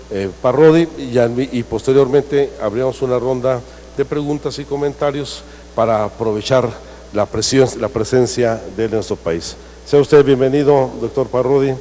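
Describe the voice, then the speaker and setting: A man's voice: mid-range voice, mellow. A man introducing a guest speaker at a formal seminar, hesitating and correcting himself often. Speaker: seminar moderator. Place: large hall in the Senate. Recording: distant echoey hall with faint noise.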